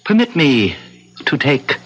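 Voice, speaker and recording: An actor playing a sly, insistent bargainer in a formal, theatrical manner, in 1970s radio drama style. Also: male voice, fairly high pitch, huge pitch swings, slow, breathy edge, muffled recording, heavily acted. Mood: smooth persuasion. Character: sly, insistent bargainer pressing solemn promises, smugly menacing. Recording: old radio broadcast recording.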